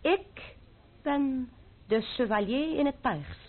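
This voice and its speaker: A woman's voice: high voice, expressive, slow, heavily acted. An actress playing a proud, defiant young French noblewoman in a Dutch radio play, haughty and scornful in manner. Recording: narrow-band, muffled old radio recording.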